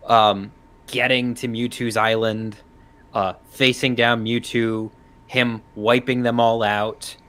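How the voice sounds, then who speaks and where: A man giving his opinion as a panelist in a casual discussion, pausing often mid-thought. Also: medium pitch, bright, forceful tone.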